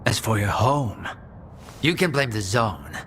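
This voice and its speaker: An actor playing a composed, condescending scientist in a video game, talking in a measured, lecturing way. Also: male voice, lowish voice, very animated, noisy background. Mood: smug. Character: composed, condescending male scientist, persuasive and self-assured. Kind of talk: video game cutscene acting. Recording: loud music under the voice.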